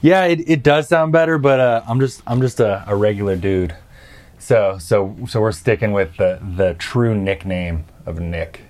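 Friendly low-voiced man guest. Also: normal speed, darkish tone. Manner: friendly, hesitant repeats, explaining. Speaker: company co-founder, guest. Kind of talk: casual interview.

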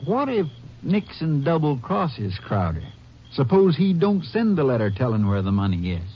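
An actor playing a folksy, puzzled Western sheriff with a doubtful, drawling manner in 1950s radio drama style. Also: male voice, on the high side, very animated, moderate pace. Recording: muffled, noisy old radio recording.

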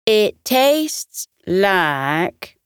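Woman doing a slow, hesitant male animal. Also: medium pitch, very animated, clean tone, dry recording, clean studio sound. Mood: amazed. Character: polite, hesitant male animal diner in bedtime story.

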